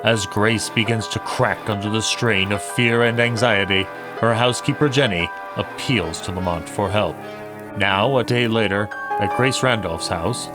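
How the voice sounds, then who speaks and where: A man announcing in a formal, scripted old-time radio style with measured delivery. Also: on the low side, over music.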